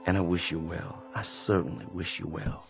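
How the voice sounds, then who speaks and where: An actor playing a weary, quiet Confederate sergeant in a radio drama, speaking slowly and earnestly and often repeating words as he searches for them. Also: low voice, little pitch movement. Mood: resolute. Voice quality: gravelly. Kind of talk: old-time radio drama acting. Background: faint underscore music.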